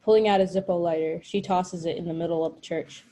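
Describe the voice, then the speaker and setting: A woman's voice: on the low side, steady tone, fast, warm tone, a little hiss or hum. A woman narrating stage directions from a screenplay at a table read.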